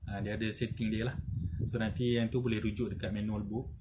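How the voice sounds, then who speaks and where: A man with a Malaysian accent giving a casual product tutorial, explaining in a halting, repetitive way with frequent conversational tags. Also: lowish voice, flat delivery. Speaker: product reviewer and seller. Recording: noisy, with audible background noise and clicks.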